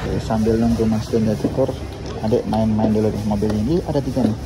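A man's voice: on the low side, steady tone, fairly quick, very noisy. A man in his thirties narrating a casual family vlog in a chatty, upbeat way, filming on a handheld camera while out and about. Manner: chatty, upbeat, points things out. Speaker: vlogger. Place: outdoors and in shops, on the move.

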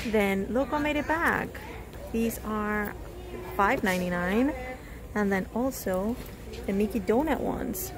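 A woman filming a casual shopping walkthrough on her phone in a store, reading out prices and exclaiming at surprises. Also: fairly high pitch. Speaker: shopping vlogger. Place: indoor discount store. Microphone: handheld phone camera. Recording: store music playing behind. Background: store music.

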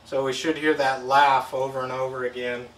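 A man's voice: medium pitch, little pitch movement, moderate pace. A middle-aged man giving a casual, step-by-step instructional demo in plain language. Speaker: product demonstrator. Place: indoor tabletop demo setup with backdrop. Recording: echoey, distant mic with background noise.

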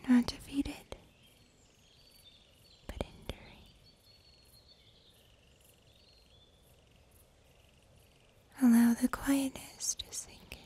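A young woman playing a gentle mystical healer in an ASMR fantasy roleplay, whispering slowly, breathily and tenderly. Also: fairly high pitch, husky, heavily acted. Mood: soothing, reassuring. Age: young adult. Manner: slow, soothing, tender. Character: gentle mystical female healer comforting a weary wanderer. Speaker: ASMR performer.

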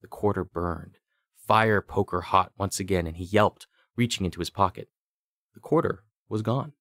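A man narrating a scripted fantasy audiobook with deliberate, dramatic storytelling. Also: deep voice, a bit bright, no room sound.

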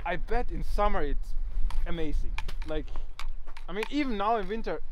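Excitable high-pitched young man vlogging. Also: normal speed. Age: 20s. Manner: excitable, informal exclamations. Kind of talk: casual vlog chat while exploring. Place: outdoors in snowy castle ruins. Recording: noisy outdoor camera audio.

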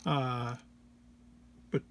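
Slow, halting man giving a tutorial. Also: mid-range voice, steady tone, gravelly, fairly bright tone. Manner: hesitant, halting explanation. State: under the weather. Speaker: presenter of a gear walk-through. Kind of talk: informal tutorial walk-through.

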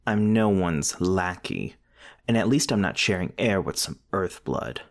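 A man's voice: low voice, expressive, unhurried. A man playing a snobbish young demon, a bully's sneering sidekick, in an audio roleplay. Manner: snide, jeering. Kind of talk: ASMR audio roleplay acting.

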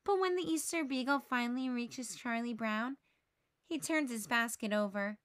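A woman reading a children's story aloud in an animated, dramatic way. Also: fairly high pitch, normal speed. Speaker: storybook reader. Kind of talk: expressive children's read-aloud narration.

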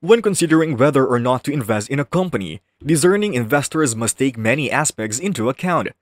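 Male voice-over narrator, mid pitch, steady smooth read, dry close mic. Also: brisk, dry recording.

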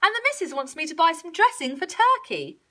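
Woman voicing a patient farmer, lively and cautioning. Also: very high voice, a little fast, very noisy, voice pushed up. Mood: patient, practical. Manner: reasoning, cautioning. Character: patient, practical male farmer, gently cautioning. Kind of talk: children's storybook character voice.

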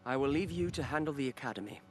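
Man as a composed young lord, mid-pitched. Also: expressive, fast talker, natural voice. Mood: calm, authoritative. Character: composed, formal young male noble ruler. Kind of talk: video game cutscene acting. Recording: clear background noise, game ambience.

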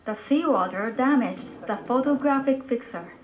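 A woman's voice: a little high, normal speed. A woman narrating a scripted news report, replayed over speakers in a room. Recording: muffled, noisy playback in a room.

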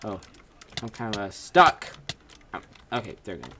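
A teenage boy commentating his own game play at home, reading signs aloud and yelping loudly at his wins and deaths, his voice going hoarse. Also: lowish voice, very animated, moderate pace, a little hiss or hum. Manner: shouts and squeals when failing or winning, reads signs aloud. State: losing his voice. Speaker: gaming video creator. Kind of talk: excited gameplay commentary. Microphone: desk mic with webcam.

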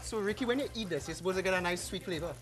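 Fast, high Trinidadian chef. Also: male voice, over music. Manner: eager, teasing, explaining the dish. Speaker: TV chef and host. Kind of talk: cooking show chat and explanation. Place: TV studio kitchen set.

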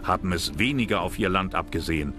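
Low-voiced male documentary narrator. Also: quick pace. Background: music.

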